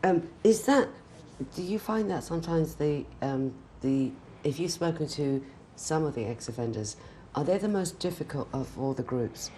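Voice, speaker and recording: A woman born in Britain, a TV presenter asking questions in a chatty, animated way. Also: low voice, normal speed, a little hiss or hum. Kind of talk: TV interview.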